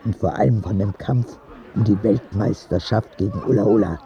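A man's voice: lowish voice, normal speed, mellow, highs cut off, natural voice. A man playing an earnest, candid ten-year-old sick boy who narrates his letters in a radio drama. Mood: confiding, admiring.

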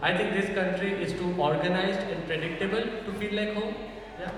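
A man's voice: sits high, fairly even intonation, normal speed. Indian man doing stand-up in an echoey hall. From North India. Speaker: stand-up comedian. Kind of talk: stand-up comedy with audience banter. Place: echoing church hall at a festival. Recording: distant mic, very echoey hall, audience noise. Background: audience.

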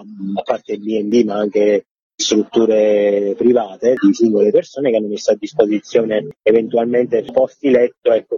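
Dark, low-voiced man, muffled sound. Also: husky, no room sound. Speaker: interviewed organizer of a farmers' gathering. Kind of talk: informal radio interview answers, explanatory. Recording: dull, muffled lo-fi radio sound.

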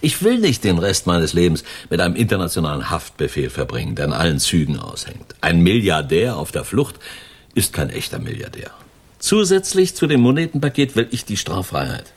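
An actor playing a sardonic, world-weary middle-aged criminal mastermind and lover in a German radio crime drama. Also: male voice, low voice, big pitch swings, a little fast, husky, heavily acted. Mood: calculating, assured.

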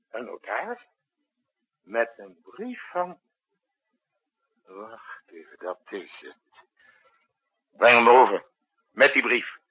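An actor playing a commanding, harried state leader with a brisk, imperious manner in a 1960s radio drama. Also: male voice, mid-range voice, breathy edge, exaggerated voice. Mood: weary, impatient. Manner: brisk, imperious, muttering to himself between orders. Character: commanding, harried male state leader issuing urgent emergency orders. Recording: dull, muffled 1960s radio recording.

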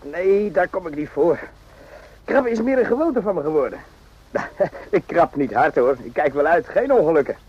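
An actor playing a naive, randy young soldier in a radio drama, rambling and jokey in an eager, confiding way. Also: male voice, fairly high pitch, lively intonation, normal speed, slight background noise, close to an everyday voice. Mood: eager, joking. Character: chatty, naive, randy young army soldier, former circus hand. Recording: muffled lo-fi old radio recording.

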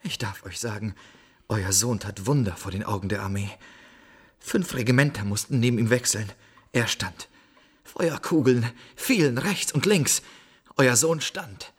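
A man playing a poor soldier bearing grim news in a radio drama, husky, grave and declamatory. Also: mid-range voice, fairly bright tone. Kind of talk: theatrical radio drama storytelling.

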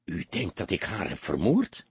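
A man's voice: low voice, huge pitch swings, normal speed, a little mellow, husky, dry recording, exaggerated voice. A man voicing an anxious, earnest young soldier who speaks hesitantly and repeats words in an audiobook story. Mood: indignant.

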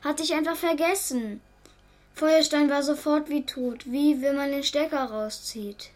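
Anxious little boy, high and plaintive, hesitant, for audio drama. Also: unhurried, a little mellow. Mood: guilty, dejected. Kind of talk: German audio drama acting.

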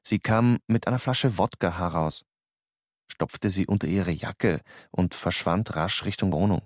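An actor narrating a radio drama in the first person, calm and understated. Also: male voice, deep voice, measured pace, dry recording, clean studio sound.

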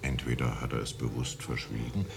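A reflective raconteur in a radio play, with an extremely deep, creaky voice. He ponders his account deliberately, while a crowd murmurs clearly behind him. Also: flat delivery. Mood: pondering. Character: reflective man recounting a friend's mysterious story. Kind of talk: radio drama acting.

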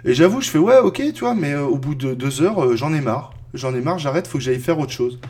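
A man's voice: a little high, lively intonation, fairly quick, a little mellow, breathy edge. A man in his thirties chatting casually as a podcast co-host, rambling with lots of fillers and restarts. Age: 30s. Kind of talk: casual roundtable chat.

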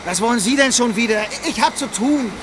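An actor playing a grumpy, prejudiced male shopkeeper in a radio play, gruff and hesitant. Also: very high-pitched, brisk. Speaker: drinks shop owner. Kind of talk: radio drama acting. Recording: radio play with street noise.

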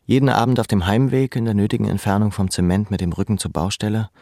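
A man reading scripted literary prose as a narrator, in a measured, deliberate, sombre manner. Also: lowish voice, steady tone, gravelly, dark tone, no echo, clean studio sound.

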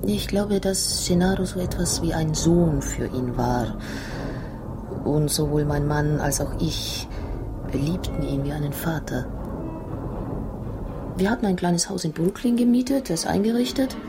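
An actress in a radio drama playing a young Italian wife who tells her past in a halting, dramatic, emotional way. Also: low-pitched, over music. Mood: wistful, earnest.

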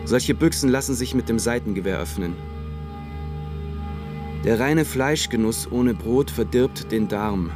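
A man voices a stranded wartime soldier giving a sober account in a radio play. His mid-pitched delivery is measured and matter-of-fact, with music clearly playing behind him. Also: lightly acted. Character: stranded German soldier recounting the war's last days. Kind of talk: literary radio play narration.

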